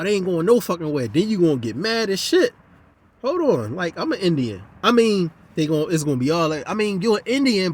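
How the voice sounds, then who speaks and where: Husky man ranting profanely outdoors. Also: a little high. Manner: profane, heated, rambling monologue. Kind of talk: casual rant.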